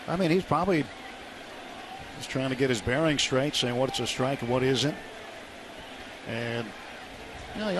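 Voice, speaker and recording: An American man doing live baseball play-by-play on radio in clipped descriptive phrases. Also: medium pitch. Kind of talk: live sports commentary. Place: outdoor ballpark broadcast booth. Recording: noisy live ballpark radio broadcast.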